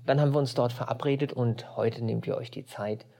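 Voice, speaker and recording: A man's voice: mid-range voice, steady tone, a little fast, creaky, mellow. An enthusiastic male podcast host speaks to his guests with effusive praise. He asks his questions eagerly and keeps things upbeat. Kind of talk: warm interview hosting. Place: castle over a lake.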